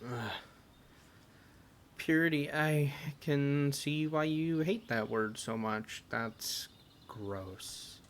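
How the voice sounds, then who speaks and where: Slow-paced, caring fantasy adventurer played by a man. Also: on the high side, slight background noise. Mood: indignant, disgusted. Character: caring, teasing male adventurer comforting an injured party member. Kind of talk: amateur audio roleplay, conversational acting.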